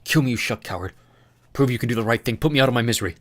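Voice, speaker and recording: A man's voice: mid-range voice, fast, natural voice. A man voicing a furious young man sliding into madness, ranting and screaming bitter accusations. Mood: harsh whisper. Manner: screaming, ranting, spitting rage.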